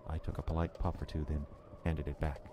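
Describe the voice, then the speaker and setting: An actor playing an uneasy visitor who narrates an eerie encounter in a measured, descriptive, brooding way for a radio horror drama. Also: male voice, rumbling low, little pitch movement. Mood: quietly uneasy. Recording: clear background ambience noise.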